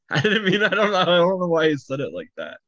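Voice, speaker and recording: Podcast host, mid pitch, giggly and rambling, dull lo-fi mic. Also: wildly swooping pitch, brisk, a bit bright. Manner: laughs often, rambling, self-correcting. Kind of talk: casual chat.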